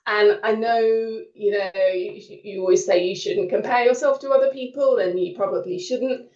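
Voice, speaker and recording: A woman's voice: on the high side, moderate pace, darkish tone, recorded from a distance. A woman talks informally as a student at an online open day, in a reflective, explanatory way. She sounds set back from the microphone in a roomy space. The call audio is slightly dull. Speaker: creative writing student on a panel. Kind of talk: informal testimonial talk at a virtual open day. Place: indoor room on a video call.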